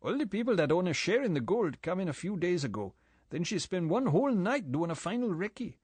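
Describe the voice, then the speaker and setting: An old island boatman , as a male reader puts him on, chats away, his voice pitched well up and swinging, with a drawl and loose grammar. The sound is close and dry, an audiobook voice. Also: big pitch swings, brisk, a little mellow, slightly rough, no echo, full character voice. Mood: gossipy. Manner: chatty, broken grammar, drawling.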